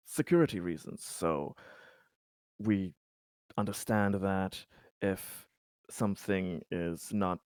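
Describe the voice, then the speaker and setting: A man playing an earnest, diplomatic colony official in a tabletop roleplay, speaking formally in wordy, halting phrases. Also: on the low side, darkish tone, no room sound, studio quality. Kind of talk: improvised tabletop roleplay dialogue.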